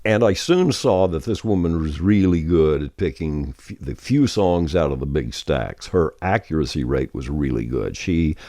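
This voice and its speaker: An older man speaking as a veteran radio host, explaining his trade in a measured, conversational monologue with anecdotes. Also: very deep voice, animated, dark tone, no room sound, studio quality.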